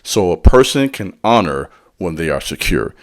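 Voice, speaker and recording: A man's voice: low voice, very animated, normal speed. A man talking straight to his audience in a preacherly rhythm. His delivery is slangy and direct. He sprinkles in call-and-response asides as he teaches on spiritual matters. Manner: slangy and direct, addressing the listener. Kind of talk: motivational spiritual talk.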